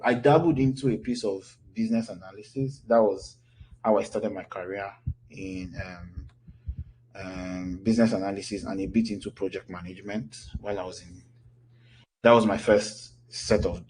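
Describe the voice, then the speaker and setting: A man's voice: lowish voice, steady tone, moderate pace, mellow, slight background noise, rough recording. A Nigerian man in his thirties, an instructor answering questions in a loose, chatty online class. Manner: loose, chatty, with lots of fillers and restarts.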